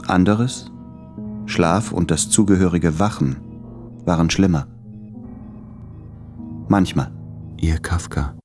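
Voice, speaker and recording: A melancholy man of letters, speaks his love letters in a very deep, measured voice. He is brooding and introspective, in a literary radio play with music faintly behind him. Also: a little mellow. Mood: wistful, confiding. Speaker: radio drama actor.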